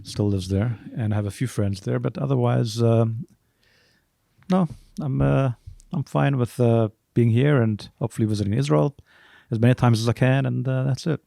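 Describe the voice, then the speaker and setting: A middle-aged man with a softened South African accent, answering interview questions casually in measured, halting phrases. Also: mid-range voice, gravelly, dry recording, studio quality. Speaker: podcast guest, music arranger.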